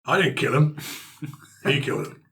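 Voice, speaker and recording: A man's voice: fairly high pitch, lively intonation, moderate pace, pushed and bright. A man playing a tabletop roleplaying game, slipping casually into character with loose, playful banter.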